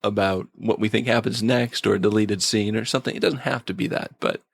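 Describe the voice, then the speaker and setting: Gravelly-edged man chatting on a podcast. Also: lowish voice, steady tone, brisk, dry recording, clean studio sound. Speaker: podcast co-host. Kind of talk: casual TV episode review chat.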